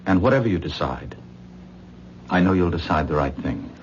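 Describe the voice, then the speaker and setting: Earnest pleading lover, low lively voice, dull old radio sound. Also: male voice, normal speed. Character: earnest young man in love, pleading. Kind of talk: 1970s radio drama acting. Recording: dull 1970s radio recording with faint noise.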